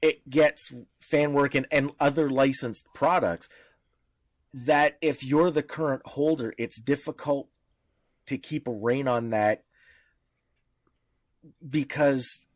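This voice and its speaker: A man's voice: mid-range voice, fairly even intonation, takes it slowly, pauses often, no echo. A man with a mid-range voice, recorded very dry, discusses things casually on a podcast. He explains point by point, often rephrasing his thoughts midway. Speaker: podcast co-host.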